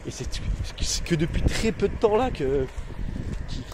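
A man's voice: a little high, animated, measured pace. A man in his thirties in pain, rambling in slangy casual talk to his followers while filming himself on a phone outdoors. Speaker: vlogger. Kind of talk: casual vlog. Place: outdoors among trees and bushes. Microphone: phone held at arm's length. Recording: noisy outdoor phone recording. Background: outdoor ambience.

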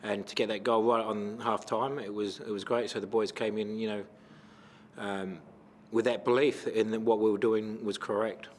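A middle-aged man with an Australian accent, a football coach answering questions at a post-match press conference into a table microphone, measured and full of fillers. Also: on the low side, flat delivery, a little hiss or hum. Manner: measured, many fillers and restarts. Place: press conference room with sponsor backdrop.

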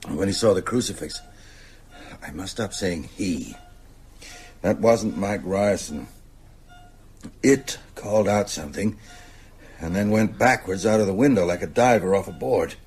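An actor playing an ailing older teacher in a radio drama, very husky, precise, bookish and resolute. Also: male voice, deep voice, unhurried, pauses often, darkish tone, a little hiss or hum. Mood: shaken. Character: ailing older male teacher, scholarly, shaken but resolute.

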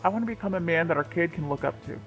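A reader voicing an earnest, gentle young husband and father-to-be in a storybook tale, speaking plainly and sincerely. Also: mid-range voice, rapid-fire, roomy sound, muffled recording, soft background music, close to an everyday voice. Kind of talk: reading a story's dialogue and letter.

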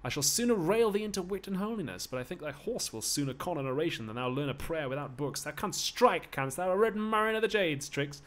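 A man's voice: medium pitch, a little fast, hardly any pauses, natural voice. A man playing a bitter, railing male slave in a stage play, sneering and ranting out insults.

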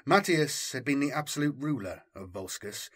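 A man reading a military science fiction story as dramatic scripted narration, in a measured, formal storytelling manner. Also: mid-range voice, lively intonation, a bit bright, slightly rough. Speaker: audiobook narrator.

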